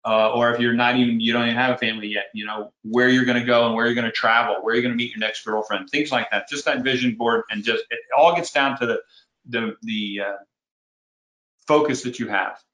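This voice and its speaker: A man, a commercial real estate broker, giving earnest, folksy career advice in a podcast interview with halting restarts. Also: mid-range voice, fairly even intonation, sharp tone. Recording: roomy echoey sound.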